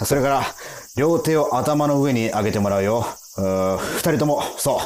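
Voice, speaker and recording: An actor in a radio drama playing a gruff, cocky time-patrol officer, rough and condescending, easily irritated. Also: male voice, mid-range voice, expressive, normal speed, a little mellow, slight background noise, close to an everyday voice. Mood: mock polite. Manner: condescending, rough interrogator talk.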